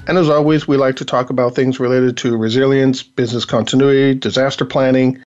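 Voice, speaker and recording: A man's voice: mid-range voice, level tone, a little fast, a little mellow, faint music behind. A man hosts an internet talk show in a casual, rambling way with plenty of fillers. His voice is slightly worn, and the recording sounds dull and lo-fi. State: losing his voice. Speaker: podcast host. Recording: dull lo-fi audio.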